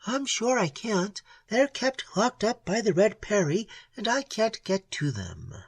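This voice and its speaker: A reader voicing a nervous, timid young woman who speaks in a hushed, hesitant way in an audiobook. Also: mid-range voice, big pitch swings, measured pace, breathy edge, dry recording, pitched above the performer's own voice, full character voice.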